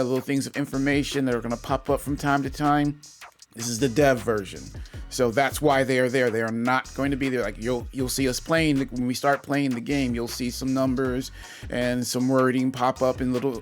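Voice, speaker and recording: A man hosting a gaming channel speaks in a loose, upbeat way at an ordinary mid pitch, often restarting his sentences. Faint music plays quietly under his voice. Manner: rambling, upbeat, many restarts. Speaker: channel host, interviewer. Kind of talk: casual video update and interview.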